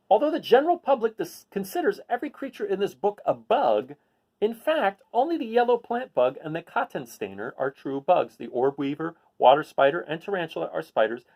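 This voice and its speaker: A man's voice: on the high side, a little mellow. A man reading a children's picture book aloud, adding casual asides and putting questions to his listeners. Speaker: read-aloud host.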